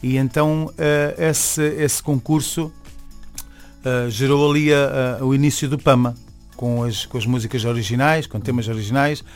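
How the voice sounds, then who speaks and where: Brief: young man, Venezuelan-tinged Beira accent, halting guest answers with fillers, faint music under. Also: medium pitch, fairly even intonation. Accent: Venezuelan-tinged Beira Portuguese. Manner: hesitant, many restarts and fillers. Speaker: musician and barber, interview guest. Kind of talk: casual interview talk.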